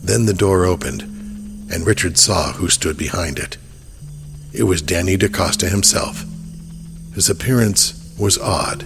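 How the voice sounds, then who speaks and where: A man's voice: low-pitched, measured pace, vocal fry, pauses often, over music. A man narrating a horror audio drama in a scripted storytelling style.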